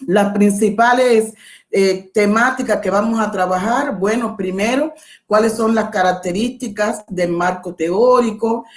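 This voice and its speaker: A middle-aged Cuban woman lectures at an unhurried pace, her voice sitting at an ordinary middle pitch. She explains things step by step, pausing to think and correcting herself along the way, speaking into a computer mic on a video call. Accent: Cuban Spanish. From Cuba. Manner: measured, explanatory, with thinking pauses and self-corrections. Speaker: guest lecturer. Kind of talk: academic webinar lecture.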